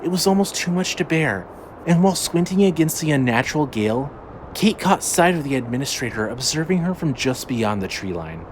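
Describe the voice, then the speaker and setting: A man's voice: on the high side, very animated, fairly quick. A man narrating scripted fiction dramatically as the narrator of a story. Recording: faint background noise and ambience.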